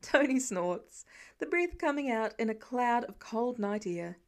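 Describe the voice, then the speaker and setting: A woman's voice: on the high side, expressive, unhurried. A woman reading a scripted story aloud as an audiobook narrator.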